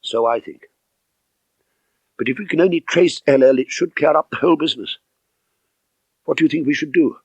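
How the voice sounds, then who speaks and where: Man voicing a brisk, higher-pitched inquisitive gentleman. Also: breathy edge, dry recording, muffled recording. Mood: eager, puzzled. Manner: direct, questioning. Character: brisk, inquisitive gentleman baronet, puzzled and eager. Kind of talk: audiobook character dialogue.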